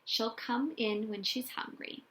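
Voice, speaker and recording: A brisk, reassuring mother from a children's storybook, voiced by a woman narrator. She sounds practical and bright, with a fairly high pitch.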